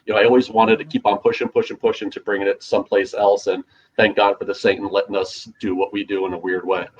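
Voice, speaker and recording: A man co-hosting a casual podcast as a DJ, rambling with lots of fillers and restarts, his voice a little gravelly. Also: on the low side, flat delivery, fast talker, dull audio. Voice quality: slightly gravelly. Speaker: podcast co-host, DJ. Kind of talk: casual chat.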